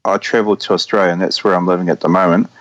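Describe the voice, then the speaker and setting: Cast a deep-voiced New Zealand man, hesitant guest, trips over his own words on a remote call. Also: fairly even intonation, fairly bright tone, highs cut off. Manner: halting, stammering, repeats words. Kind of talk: remote interview.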